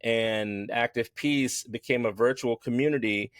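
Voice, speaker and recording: A man speaking as a podcast guest and yoga teacher, frank and lively, laughing often as he talks. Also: mid-range voice, a little slow, dry recording, clean studio sound. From United States. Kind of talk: candid conversational interview.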